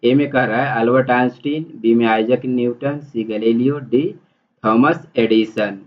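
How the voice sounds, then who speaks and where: A man's voice: mid-range voice, fairly even intonation, moderate pace. Muffled recording of a man teaching. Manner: instructional, repeats questions and answers. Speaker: exam-prep teacher and quiz host. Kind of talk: reading multiple-choice questions and explaining answers. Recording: dull, muffled lo-fi audio.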